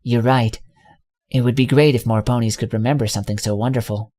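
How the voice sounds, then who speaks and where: A man's voice: medium pitch, fairly even intonation, brisk, mellow, lightly acted. A man doing a nervous stammering pony mare. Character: anxious young mare pony.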